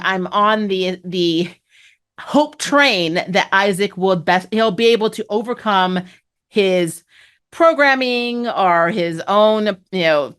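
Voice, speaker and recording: A woman hosting a casual spoiler review of a TV episode, chatty and amused, laughing often and filling pauses with "um" and "you know". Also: fairly low pitch, a bit bright, clean tone. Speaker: TV review host.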